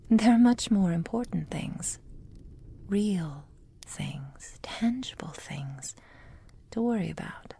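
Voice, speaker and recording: A woman playing a possessive, manipulative witch lover in an intimate ASMR roleplay, whispering in a formal, measured, softly controlling way. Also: mid-range voice, expressive, slight background noise. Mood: soothing, evasive. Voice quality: breathy, mostly whispered.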